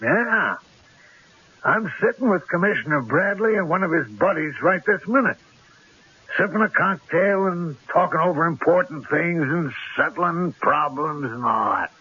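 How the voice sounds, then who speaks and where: An actor playing a cocky, menacing gangster in a radio drama, with tough, slangy street talk and a rough edge. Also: male voice, fairly high pitch, lively intonation, unhurried, pushed and bright, exaggerated voice. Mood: boastful. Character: cocky, menacing gangster killer holding a couple at gunpoint. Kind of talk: 1970s radio drama acting. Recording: dull, lo-fi old radio broadcast.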